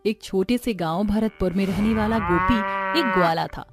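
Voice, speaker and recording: A woman's voice: medium pitch, normal speed, loud music behind the voice. A woman narrating a scripted folk tale as the storyteller.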